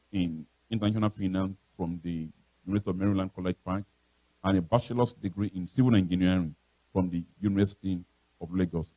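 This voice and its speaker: A man, a church pastor reading a formal introduction in a measured, halting way. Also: low-pitched, warm tone, no room sound, rough recording. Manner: measured, halting, with repeated words. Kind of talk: formal introduction read from notes. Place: church podium.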